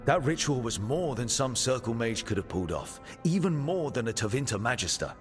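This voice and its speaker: An actor playing an earnest, steady mage who leads a team in a fantasy video game, speaking in a measured, serious way. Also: male voice, medium pitch, soft background music, close to an everyday voice. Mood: grave. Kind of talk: cinematic video game dialogue.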